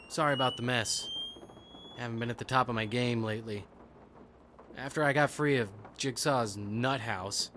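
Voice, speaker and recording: A man's voice: medium pitch, fairly bright tone, a little hiss or hum, close to an everyday voice. An actor playing a weary, troubled man in a video game thriller, speaking tersely.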